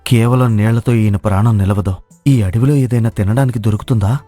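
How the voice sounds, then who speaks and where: A man's voice: fairly low pitch, fairly even intonation, fairly quick, dark tone, no echo, lightly acted. A man playing a humble, kind-hearted village cook in a moral story, speaking earnestly and plainly. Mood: concerned. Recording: dry studio voice over music.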